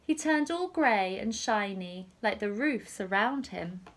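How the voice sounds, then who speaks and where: A woman teacher reading a picture story aloud to young children in an expressive, deliberate storytelling manner. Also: medium pitch.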